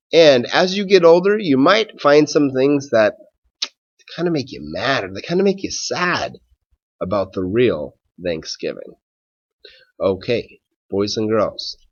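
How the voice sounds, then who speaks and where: A man who is a schoolteacher doing a read-aloud for children and talking to pupils in a measured, simple way. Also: mid-range voice, muffled recording.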